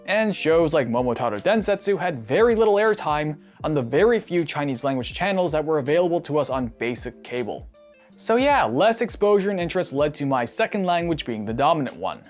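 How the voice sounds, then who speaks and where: Male narrator, native Cantonese speaker, wry and animated delivery with a fairly high, expressive voice. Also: a little fast, soft background music. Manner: animated, wry and self-deprecating. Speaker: anime video essayist. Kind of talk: scripted video narration.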